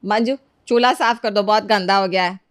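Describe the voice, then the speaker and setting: A woman's voice: medium pitch, fairly quick, no room sound, studio quality, close to an everyday voice. A woman voicing a harsh, scornful middle-aged mother-in-law who scolds and orders her maid about in a cartoon story. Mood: bossy, threatening. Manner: scolding, commanding, sarcastic. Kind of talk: Hindi cartoon story character dialogue.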